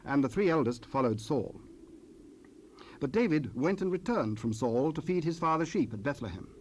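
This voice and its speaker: A man's voice: a little high, animated, normal speed. Solemn male scripture narrator. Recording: faintly noisy cassette rip.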